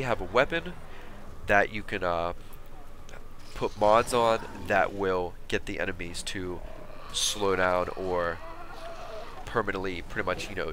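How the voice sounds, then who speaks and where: A man with a stuffed-up cold, giving relaxed, chatty commentary over game footage for a weapon build video. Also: fairly low pitch, slight background noise. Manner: relaxed, chatty, with fillers and quick asides. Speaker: gaming YouTuber. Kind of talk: casual gameplay commentary.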